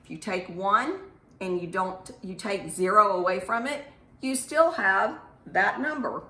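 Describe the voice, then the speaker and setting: A woman's voice: mid-range voice, a little slow, pushed and bright. A woman teaching young children in an encouraging way, with simple phrasing and questions, as in a math lesson or storytime. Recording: echoey room.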